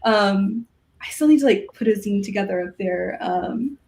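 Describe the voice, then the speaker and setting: Creaky-voiced woman in casual chat. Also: medium pitch, moderate pace, darkish tone. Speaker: podcast guest, science fiction writer.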